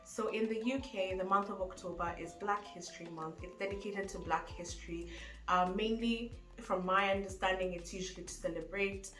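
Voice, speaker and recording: A woman's voice: medium pitch, fairly even intonation, moderate pace, fairly bright tone. A young woman with a Kenyan accent, talking casually to camera on her vlog, with lots of fillers. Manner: chatty, with frequent fillers. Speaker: vlogger. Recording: echoey room, faint music behind.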